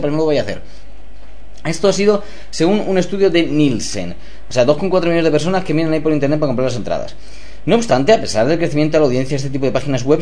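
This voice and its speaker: A man, co-hosting a radio show, reading news items quickly and breaking in with joking, sarcastic asides. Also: a little high, a little mellow. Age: 30s.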